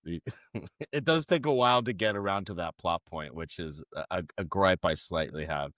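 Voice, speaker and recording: A man with a notably deep voice leads a casual movie podcast. He runs through film credits and throws jokes to his co-hosts, recorded close and dry with no room sound. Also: normal speed, a bit bright, no echo. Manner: leads the show, reads out film credits, jokey. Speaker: podcast host.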